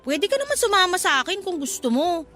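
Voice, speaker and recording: A woman's voice: extremely high pitch, sing-song, a little fast, bright, forceful tone, faint music behind, full character voice. A woman playing a gossipy, pragmatic young shop co-worker in a radio drama, talking in a sassy, slangy way. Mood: persuasive.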